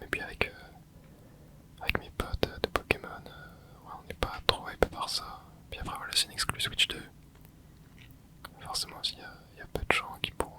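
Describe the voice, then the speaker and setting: A young adult ASMR host whispering casual, rambling chat while playing a video game, with many hesitant fillers. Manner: soft unvoiced whisper throughout. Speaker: ASMR gaming channel host. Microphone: close mic.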